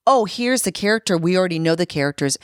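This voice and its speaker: Woman guest on a podcast, from canada, thinks out loud, lots of pauses and restarts. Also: lowish voice, expressive, clean tone, no echo, clean studio sound. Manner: thoughtful, halting, many restarts and pauses. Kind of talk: reflective conversation.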